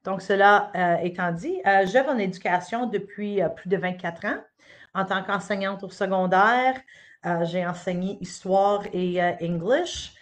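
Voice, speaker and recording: A woman's voice: mid-range voice, a little slow. Hesitant woman presenter. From Northern Ontario, Canada. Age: middle-aged. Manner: hesitant, many "euh" fillers and restarts. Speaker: education officer giving a presentation. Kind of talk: unscripted live presentation. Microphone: webcam or computer mic on a live stream.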